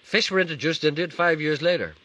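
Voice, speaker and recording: A middle-aged man hosting a radio show, chatting playfully with deadpan humor and reading out listener messages. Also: on the high side, fast. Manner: playful deadpan teasing, spins tall tales. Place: radio studio.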